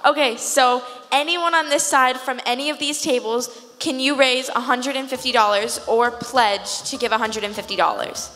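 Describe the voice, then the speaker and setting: A woman's voice: high-pitched, normal speed, hardly any pauses, pushed and bright, faint music behind. A young woman co-hosting an event, presenting informally from a stage through a handheld microphone. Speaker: event co-host. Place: large auditorium hall on stage. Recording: echoey hall PA with background noise.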